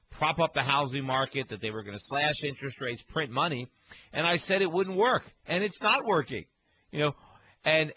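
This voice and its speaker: A man hosting a call-in radio show from a home studio, talking in a quick, chatty way with many fillers and repeats. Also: medium pitch, sharp tone, a little hiss or hum, low-quality recording. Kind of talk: casual call-in talk radio.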